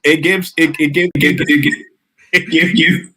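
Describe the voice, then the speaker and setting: A man's voice: on the high side, steady tone, measured pace, pushed and bright, breathy edge. A man speaking as an academic panelist on a video call, hesitant, restarting often with small chuckles. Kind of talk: panel discussion.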